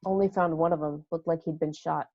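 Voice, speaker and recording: A woman playing a shaken, accusing spaceship navigator with a creaky voice in an amateur table read. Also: sits low, steady tone, fairly quick, no echo, dull audio. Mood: grim, shaken.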